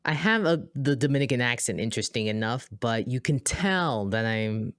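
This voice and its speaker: A woman with an American accent co-hosting a podcast, telling a story in a chatty, animated way with lots of asides. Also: normal speed, no room sound, clean studio sound. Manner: animated, acts out quoted dialogue with big pitch jumps; chatty, many fillers and asides. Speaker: podcast co-host. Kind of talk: casual conversational storytelling.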